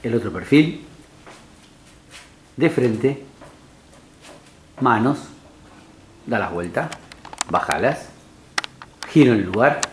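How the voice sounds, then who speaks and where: A man's voice: medium pitch, lively intonation, takes it slowly, pauses often, a little hiss or hum. A man calling short, commanding directions at a casting session. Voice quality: curt, commanding. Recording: echoey studio room.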